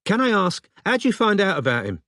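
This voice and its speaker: A man's voice: sits high, huge pitch swings, a little fast, pushed and bright, dry recording, clean studio sound, voice pushed up, exaggerated voice. A man doing a curt, belligerent London woman. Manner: curt, suspicious, blunt.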